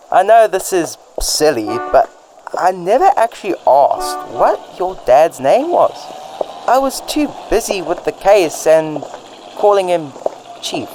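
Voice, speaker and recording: Man as awkward, earnest young-sounding detective hero, animated pitch, amateur radio play, clearly noisy recording. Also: slow, pauses often, full character voice. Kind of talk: amateur radio drama acting.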